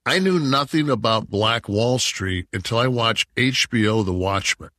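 A man's voice: medium pitch, unhurried, bright, forceful tone, no echo, studio quality. A man in his sixties from Detroit, co-hosting a podcast and talking in a heated, profane, rambling way. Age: 60s. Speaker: podcast co-host. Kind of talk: casual chat.

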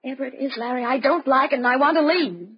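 An actress playing an anxious, perceptive young wife in a 1950s radio drama, with earnest, fretful delivery. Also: female voice, sits high, fast talker, slightly rough. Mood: frightened. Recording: dull, noisy old radio recording.

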